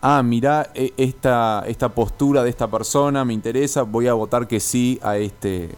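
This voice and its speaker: A man's voice: mid-range voice, normal speed, smooth. A man with an Argentine accent asking questions in a conversational radio interview, hesitant and groping for his wording. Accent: Rioplatense Argentine.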